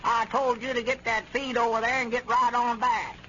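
An actor playing a bossy, folksy older country storekeeper with a drawling rural Southern accent in a 1930s radio comedy. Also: male voice, very high voice, flat delivery, normal speed, pushed and bright. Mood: scolding. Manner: folksy, drawling, dialect grammar. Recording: scratchy, muffled 1930s radio recording.

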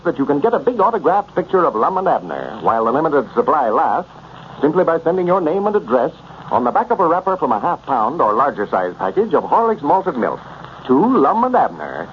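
Casting: male American 1930s radio announcer, lively swooping delivery, slightly high voice, muffled noisy recording. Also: brisk.

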